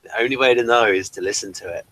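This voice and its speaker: A man's voice: lowish voice, fast talker, fairly bright tone, slight background noise, poor audio. A man hosting a casual review chat, asking questions and explaining things to his guest. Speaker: host of a review show.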